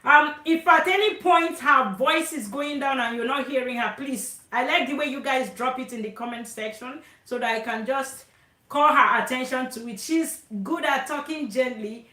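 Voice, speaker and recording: Loud, talkative woman hosting a live stream. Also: high-pitched, normal speed, reverberant. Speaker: host.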